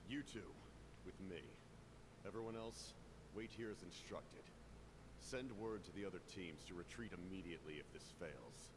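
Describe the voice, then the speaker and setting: Male imposing game captain. Extremely low, gravelly, stoic, measured command. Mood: grave resolve. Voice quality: deep, gravelly. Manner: measured, terse, authoritative. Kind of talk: video game voice acting. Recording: game audio with clear background noise.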